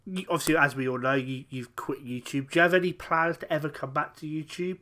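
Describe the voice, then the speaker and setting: A young man hosting a casual podcast interview, chatty and quick to laugh, asking eager questions. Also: a little high, sharp tone. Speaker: podcast host.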